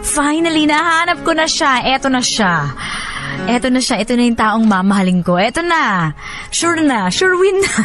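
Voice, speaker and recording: A woman with a Filipino accent hosting radio, playful, expressive and slangy, mixing in English as she chats and reads out listener messages. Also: high voice, normal speed. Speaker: radio host. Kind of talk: lively radio talk, reading listener messages and giving commentary. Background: music.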